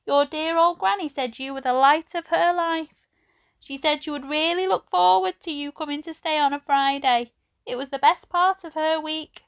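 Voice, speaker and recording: A woman voicing a kindly, frail old lady in a children's storybook, speaking gently and fondly in a slow, chatty way. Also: high-pitched, gravelly, lo-fi sound, pitched above the performer's own voice. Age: older.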